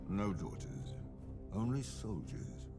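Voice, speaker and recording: An actor playing a menacing older warlord sorcerer of ravens, speaking in a cold, measured, ominous way in video game voice lines. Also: male voice, lowish voice, animated, music in the background.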